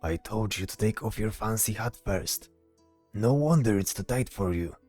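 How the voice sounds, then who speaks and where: A man's voice: on the low side, expressive, normal speed, a little mellow, dry recording, soft background music. A man playing a cautious, weary soldier with dry patience in a fantasy audio drama. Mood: weary, exasperated.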